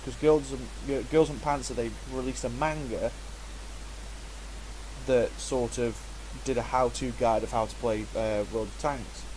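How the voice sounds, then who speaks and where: A man's voice: medium pitch, darkish tone, a little hiss or hum, rough recording. A man chatting through a game he is playing, self-mocking and talkative, sounding stuffy from a cold. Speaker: gaming channel host. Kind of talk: casual gameplay commentary.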